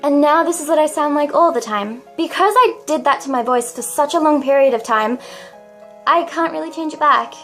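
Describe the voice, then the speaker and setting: A young woman vlogging straight to camera in a very high, cute, girlish voice. She talks in a confessional, dramatic way and keeps poking fun at herself. Soft music plays faintly in the background. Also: moderate pace. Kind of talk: confessional vlog monologue.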